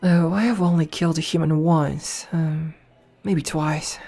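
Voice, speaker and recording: A voice actress playing a dominant, flirtatious noble vampire princess in a soft, slow ASMR roleplay, recorded close to the mic. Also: female voice, deep voice, steady tone, creaky, exaggerated voice. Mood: darkly confiding. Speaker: voice actor. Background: faint music.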